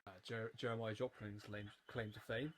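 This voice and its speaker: A man's voice: lowish voice, little pitch movement, normal speed, a little hiss or hum. A man of about twenty giving casual, rambling, opinionated commentary on a show as he watches it, often breaking off mid-thought. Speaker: YouTube commentator on a children's TV series. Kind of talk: casual rewatch commentary, off the cuff.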